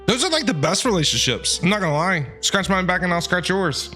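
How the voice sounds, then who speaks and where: Casting: excitable male game streamer, very lively and punchy, casual slang, music in the background. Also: sits high, a little fast. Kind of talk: casual game reaction commentary.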